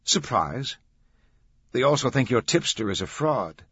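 A man's voice: mid-range voice, very animated, a little slow, gravelly, a bit bright, breathy edge, no room sound, muffled recording, pitched above the performer's own voice, heavily acted. A man voicing a blunt, wry adult woman, a teasing no-nonsense camera operator, in an audiobook thriller.

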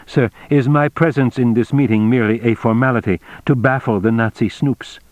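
A man's voice: mid-range voice, fairly even intonation, fairly quick, a little mellow, dry recording, voice pushed up, close to an everyday voice. Man voicing a polite, watchful Japanese businessman, stilted. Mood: deferential. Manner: stilted formal phrasing.

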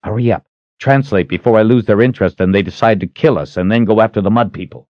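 A man's voice: deep voice, lively intonation, normal speed, no room sound, lo-fi sound. A man voicing a cold, defiant fantasy hero in an audiobook, taunting and intense. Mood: urgent resolve. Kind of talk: dramatic audiobook character acting.

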